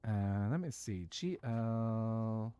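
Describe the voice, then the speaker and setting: A man's voice: lowish voice, animated, drawn-out words, warm tone, clear, resonant tone. A man giving easygoing, musing commentary while he plays a game as a streamer. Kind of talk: casual gaming commentary.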